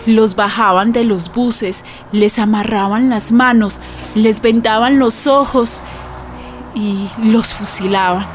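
An actress playing a sorrowful witness, narrating a radio drama in a grave, testimonial way. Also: female voice, a little high, fairly even intonation, slow pace, over music. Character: sorrowful female witness recounting a massacre.

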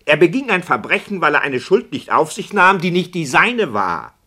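An actor playing an argumentative, pompous radio play director, speaking in a rhetorical, sardonic manner in a 1960s German radio drama. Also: male voice, on the high side, very animated, moderate pace, pushed and bright, heavily acted. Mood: indignant.

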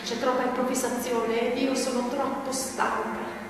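An actress playing a weary, hunted woman revolutionary, reflective and nostalgic, in a theatrical monologue with dramatic pauses. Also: fairly high pitch, level tone, noisy background, close to an everyday voice. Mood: weary, worried. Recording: echoey hall, distant, loud music. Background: loud music.

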